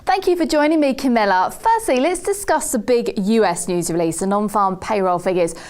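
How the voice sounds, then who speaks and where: A woman's voice: mid-range voice, expressive, normal speed, darkish tone. A woman hosting a financial news interview, putting questions in a presenter's style. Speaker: TV host interviewer.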